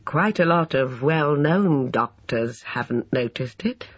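A male narrator voicing a calm, measured police inspector, on a dull lo-fi recording. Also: a little high, slightly rough, no echo, exaggerated voice.

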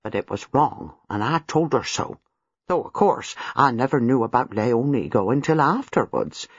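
A man's voice: mid-range voice, animated, normal speed, husky, no room sound, lo-fi sound, pitched below the performer's own voice. A man voicing a nervous, apologetic older female former servant, hesitant and deferential in an audiobook, with rambling rustic turns of phrase. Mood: apologetic, defensive. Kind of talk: audiobook character dialogue.

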